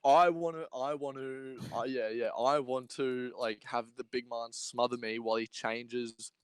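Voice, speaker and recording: Young male podcast co-host, smooth mid-pitched voice, rambling irreverent banter, close dry sound. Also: steady tone, a little slow, dry recording. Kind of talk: casual comedic chat.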